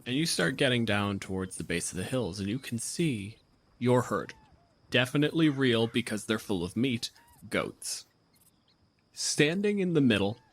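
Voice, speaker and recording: Male game master, slightly low pitch, casual improvised tabletop narration. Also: fairly bright tone, no room sound.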